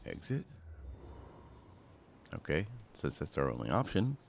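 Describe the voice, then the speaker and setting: A man's voice: rumbling low, sing-song, unhurried, vocal fry, slight background noise. A man giving casual walkthrough commentary on a video game, talking through each of his own actions. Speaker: gaming video host.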